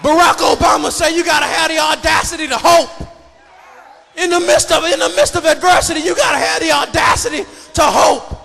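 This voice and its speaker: Shouting male preacher, gravelly and high-pitched. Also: brisk, soft background music, a faint crowd. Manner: emphatic, repetitive, cadenced delivery building on repeated phrases. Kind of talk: fervent shouted sermon. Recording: echoey hall reverb with congregation noise.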